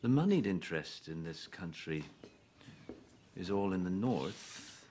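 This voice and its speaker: An actor playing a courtly, skeptical Virginian statesman of the revolutionary era in a film drama, speaking in measured, formal phrases with a wry hesitancy. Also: low voice, animated, warm tone, a little hiss or hum. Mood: troubled, wary. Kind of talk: period film drama dialogue.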